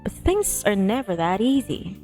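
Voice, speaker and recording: A woman's voice: mid-range voice, sing-song, a little slow, mellow. A woman livestreamer reading a visual novel aloud expressively, with playful casual asides. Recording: music behind the voice. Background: music.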